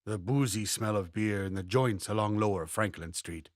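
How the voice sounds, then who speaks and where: A man reading a memoir aloud as a scripted narration, savoring short descriptive phrases with deliberate, expressive delivery. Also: lowish voice, no room sound, clean studio sound. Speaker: audiobook reader.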